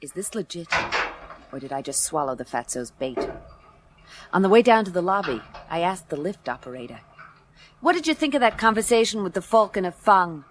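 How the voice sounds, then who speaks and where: A woman playing a tough, wry space detective in a noir radio play, deadpan and clipped. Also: lowish voice, a little fast, background noise. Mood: skeptical. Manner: deadpan, clipped, hard-boiled. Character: tough, wry female space detective narrating her case.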